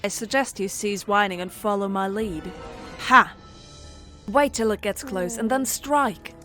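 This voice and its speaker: A woman's voice: medium pitch, a little slow, pushed and bright, faint music behind. A woman playing a brainy, level-headed teenage fairy in a cartoon fandub, speaking in a precise, commanding way. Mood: bossy.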